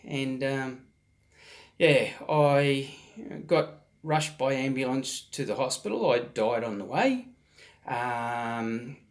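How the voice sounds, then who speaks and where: A slightly hoarse man in his late forties speaks slowly, pausing often and restarting his sentences. His pitch sits in a middle range, and he is recovering from a virus. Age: 40s. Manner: halting, many ums and restarts, soft-spoken. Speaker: vlog host. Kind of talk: casual personal storytelling.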